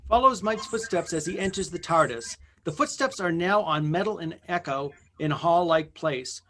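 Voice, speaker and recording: Guy as radio play announcer reading stage directions, bright forceful voice, bit high. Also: animated, normal speed, a little hiss or hum. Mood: matter-of-fact. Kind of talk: scripted narration.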